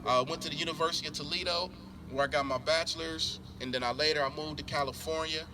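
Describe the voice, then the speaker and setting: A man's voice: a little high, steady tone, quick pace. A middle-aged man from Columbus, Ohio, a school board candidate answering interview questions emphatically, repeating his points and restarting often. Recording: noisy outdoor recording with traffic.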